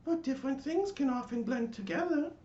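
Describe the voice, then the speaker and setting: A man voicing a friendly, drowsy, chatty little pine tree in a storybook, musing in drawn-out phrases. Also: very high-pitched, expressive, darkish tone, echoey room, lo-fi sound, voice pushed up. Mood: curious, amiable.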